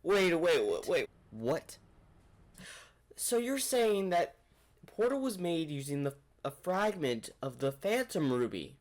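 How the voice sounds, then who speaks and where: A man's voice: fairly high pitch, big pitch swings, takes it slowly. A man hosting a reaction video with casual commentary, rambling as he thinks aloud.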